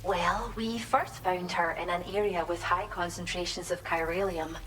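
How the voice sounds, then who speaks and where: An actress playing a friendly, grateful wildlife sanctuary researcher in a video game, explaining things gently and colloquially. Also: female voice, fairly low pitch, steady tone, moderate pace, a little hiss or hum, lightly acted, poor audio. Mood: earnest explaining. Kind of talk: video game character dialogue.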